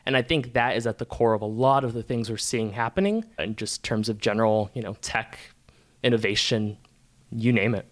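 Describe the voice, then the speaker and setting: A man from Las Vegas introducing himself on a podcast in a relaxed, rambling way with fillers. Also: lowish voice, moderate pace. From Las Vegas, Nevada. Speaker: podcast producer.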